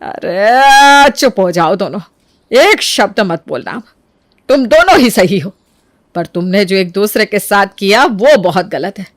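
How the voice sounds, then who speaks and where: A woman's voice: mid-range voice, animated, a little slow, no echo, studio quality, natural voice. A woman voicing an exasperated older mother-in-law who scolds wearily and with authority in a cartoon family story. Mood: stern. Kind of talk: animated family story dialogue.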